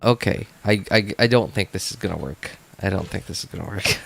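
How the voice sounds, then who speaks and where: Low, gravelly, unhurried male game streamer. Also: fairly bright tone. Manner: rambling, thinking aloud, repeats words. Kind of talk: casual livestream chat while playing.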